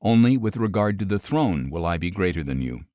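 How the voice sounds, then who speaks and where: A man reading scripture aloud as a troubled, commanding king of ancient Egypt, measured and grave. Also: low-pitched, big pitch swings, dark tone, no echo, clean studio sound.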